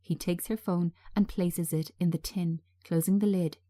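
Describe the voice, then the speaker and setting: A woman's voice: on the low side, fairly even intonation, normal speed, mellow. A woman narrating a drama script, reading out stage directions.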